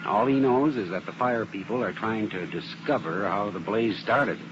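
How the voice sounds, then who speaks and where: Through a dull, noisy vintage radio recording comes an actor as an American fire investigator with a lowish male voice. He is earnest and methodical, laying out facts plainly and surely. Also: normal speed, close to an everyday voice. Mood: assured explaining. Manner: explanatory, plain-spoken, assured. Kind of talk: 1950s radio drama acting. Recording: dull old radio recording with heavy noise.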